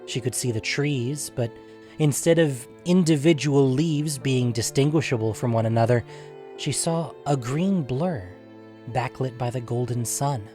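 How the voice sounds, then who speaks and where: Male narrator, mid-range voice, for audio fiction; deliberate, dramatic storytelling over a music bed. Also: mid-range voice, warm tone.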